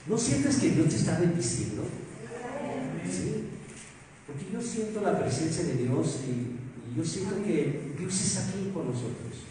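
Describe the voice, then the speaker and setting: A man with a Mexican Spanish accent preaching a sermon as pastor, asking rhetorical questions and swinging between loud emphasis and soft asides. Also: high voice, very animated, measured pace, a little hiss or hum. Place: church hall. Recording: echoey hall, mic far off.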